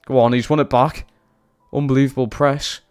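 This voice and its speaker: A man's voice: medium pitch, fairly even intonation, normal speed, darkish tone, clean tone, soft background music. A young man with a Geordie accent commentating live on his football game, swearing and reacting to every pass. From Newcastle, England. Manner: sweary, frustrated reactions to play. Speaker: streamer playing a football career mode.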